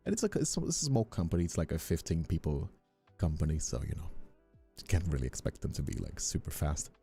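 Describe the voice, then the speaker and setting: A man's voice: extremely low pitch, huge pitch swings, fairly quick, gravelly, warm tone, faint music behind. A man who streams and voice acts, chatting casually and at some length with viewers. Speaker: streamer and ASMR voice actor. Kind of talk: casual livestream chat answering viewers.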